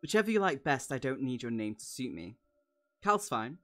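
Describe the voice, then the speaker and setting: A man reading aloud as a gruff, terse, wary small hob craftsman from a fantasy novel, with clipped replies. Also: mid-range voice, sing-song, normal speed, pushed and bright, faint music behind. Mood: guarded.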